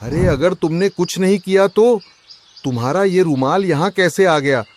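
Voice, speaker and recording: A man playing an agitated, suspicious rich merchant husband in a cartoon, loud and complaining. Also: high-pitched, moderate pace, close to an everyday voice. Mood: accusing. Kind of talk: Hindi cartoon story voice acting.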